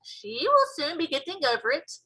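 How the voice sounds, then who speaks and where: A woman's voice: sits high, sing-song, moderate pace, sharp tone, pitched above the performer's own voice, exaggerated voice. A woman voicing a kindly, quirky male giant from a storybook, with folksy mangled grammar and an emphatic delivery. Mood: earnest, grave. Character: big friendly giant, male, earnest, kindly, quirky speaker.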